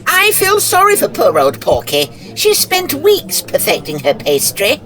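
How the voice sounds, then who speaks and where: Woman acting naive village gossip, high voice, hugely animated pitch, sympathetic, radio play. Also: measured pace, full character voice. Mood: earnest, excitable. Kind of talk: comic radio drama acting.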